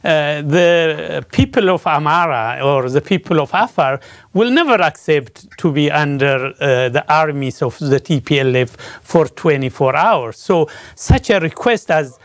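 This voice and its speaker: A man's voice: on the high side, lively intonation, a little slow, no echo, clean studio sound. Male interview host. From Ethiopia. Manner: hesitant, many fillers. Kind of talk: interview questions.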